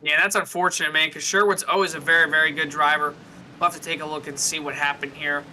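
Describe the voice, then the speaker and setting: A man's voice: on the high side, level tone, fast talker, pushed and bright, slight background noise. A man giving live co-commentary and analysis on a sim race in a casual, conversational way.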